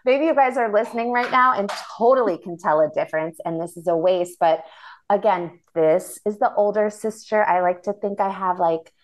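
A young woman with a slightly nasal voice, chatting playfully as a podcast co-host. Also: mid-range voice. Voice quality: a little nasal. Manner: playful, self-mocking, quick asides. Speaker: podcast co-host, older sister. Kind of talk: casual chat.

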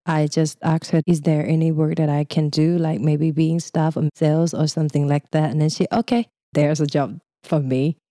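A woman's voice: sits low, little pitch movement, normal speed, hardly any pauses, dark tone, no room sound, clean studio sound. A young Thai woman with a Thai accent, speaking English as a second language in a casual, chatty interview and laughing often. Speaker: guest.

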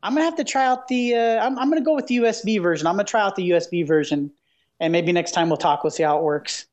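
A man co-hosting a casual podcast, eager and quick, stumbling over his words. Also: sits high, darkish tone, muffled recording. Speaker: podcast co-host.

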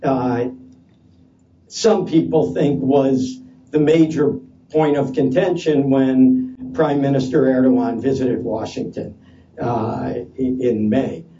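A man speaking loudly at a conference panel, hesitant and halting with many fillers and restarts. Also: medium pitch, steady tone, a little hiss or hum. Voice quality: loud voice. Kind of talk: unscripted conference talk. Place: conference session room. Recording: distant, dull lo-fi conference audio.

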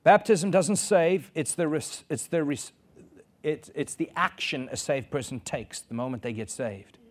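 A man's voice: a little high, unhurried. Man preaching. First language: Afrikaans. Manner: earnest, repeats key phrases for emphasis. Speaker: pastor. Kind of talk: sermon.

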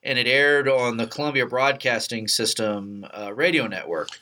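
A middle-aged man from Texas with an American accent hosts a casual behind-the-scenes chat, telling stories in a halting, rambling way. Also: mid-range voice, sharp tone. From Texas, United States. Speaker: podcast host and radio play producer.

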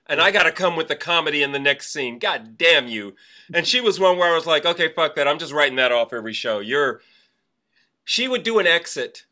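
A man co-hosting a theater podcast, reading off birthdays in casual, animated chat with some swearing and trailing fillers. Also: on the high side. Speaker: podcast co-host.

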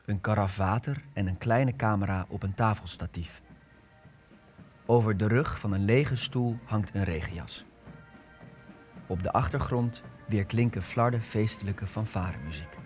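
A young man narrating a radio play, reading out the cast and stage directions in a measured, deliberate way. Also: fairly low pitch, a little mellow.

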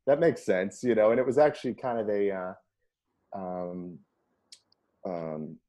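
Deep, mellow man chatting loosely. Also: a little slow. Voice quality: low baritone voice. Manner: rambling, many ums, laughs often. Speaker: singer. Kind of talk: casual conversation.

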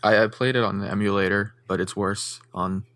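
A man's voice: on the low side, fairly even intonation, fairly quick, a bit bright, no echo. A young man co-hosting a casual podcast, speaking matter-of-factly and often repeating his words as he starts. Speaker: podcast co-host.

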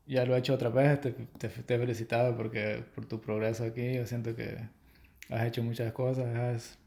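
A man's voice: medium pitch, level tone, normal speed, vocal fry, a little mellow. A man hosting a casual podcast chat, teasing his friend in banter as he leads the questions. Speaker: podcast host.

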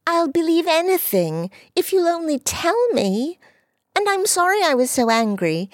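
A woman voicing an earnest, jealous little girl in an audiobook, high and eager. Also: big pitch swings, measured pace, dry recording, clean studio sound, heavily acted. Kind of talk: audiobook character voice.

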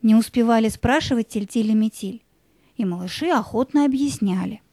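Mellow, high-voiced woman storyteller. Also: normal speed, dry recording. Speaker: narrator. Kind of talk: scripted fairy-tale narration.

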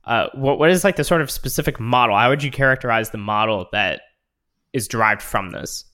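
A man's voice: medium pitch, fairly quick, sharp tone. A man hosting an interview, asking questions in a loose, casual way with fillers. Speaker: host.

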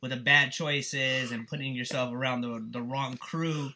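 A man's voice: medium pitch, steady tone, unhurried, pushed and bright, slight background noise. A man hosting a podcast interview, asking questions in a friendly way with stumbling restarts. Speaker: podcast host. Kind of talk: casual interview.

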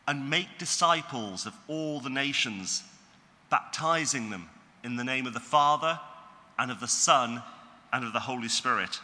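A man reading Bible passages aloud in a measured, formal way. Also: mid-range voice, pushed and bright, big-room sound. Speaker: scripture reader.

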